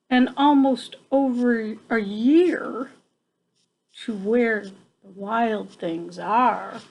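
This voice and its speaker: A woman's voice: on the high side, darkish tone, poor audio. An older woman reading a picture book aloud to children like a grandmother, adding chatty asides and checking in with her young listeners.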